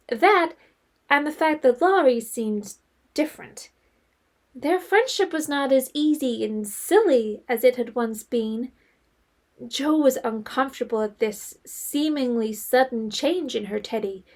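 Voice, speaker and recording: Female narrator, highish pitch, scripted literary storytelling, audio drama.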